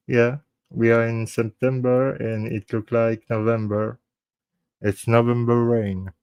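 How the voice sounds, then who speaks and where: A man from Belgium with a French-speaking Belgian accent, whose first language is French, hosting a live true crime show. He speaks in a halting, earnest way, restarts his phrases often and slips into French. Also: mid-range voice, darkish tone, clean tone, dry recording, muffled recording. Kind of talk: casual live-stream sign-off.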